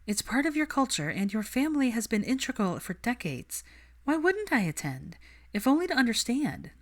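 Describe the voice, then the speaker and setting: A woman's voice: on the high side, very animated, normal speed, voice pushed up. A woman voicing an earnest, thoughtful young woman in a story, reasoning and questioning persuasively. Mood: gently insistent.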